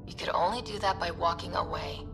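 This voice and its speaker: A woman playing a steady, caring young team leader in a video game, speaking earnestly and firmly. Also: lowish voice, brisk, music in the background, close to an everyday voice. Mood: earnest reassurance.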